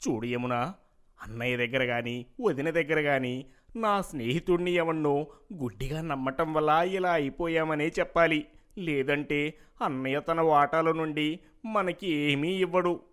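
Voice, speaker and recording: Man acting a high-voiced scheming younger brother. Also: measured pace, fairly bright tone, clean tone. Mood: complaining, scheming. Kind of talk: moral-story cartoon dialogue.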